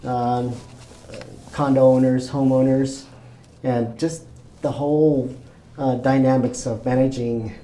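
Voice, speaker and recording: Man from Mexico, mellow and loose in his answers, heard from a distance in an echoey room. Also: mid-range voice, takes it slowly, long pauses, a little hiss or hum. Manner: relaxed, rambling with many fillers and restarts. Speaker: realtor. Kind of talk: casual interview answers. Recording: roomy, echoey, mic at a distance.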